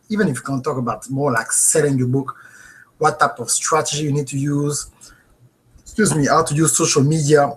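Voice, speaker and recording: A man in his thirties with a French accent, hosting a live webinar from a home office and talking into a webcam, earnest and animated, checking in with viewers. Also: fairly high pitch, poor audio. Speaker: host.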